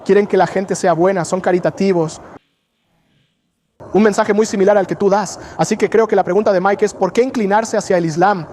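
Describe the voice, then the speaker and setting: A man with a high voice hosts a casual street chat, welcoming visitors and bridging the conversation between them. Faint urban noise and vehicles sit behind him. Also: fairly even intonation, brisk, a little mellow. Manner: welcoming, mediating, restarts phrases. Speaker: local host introducing guests.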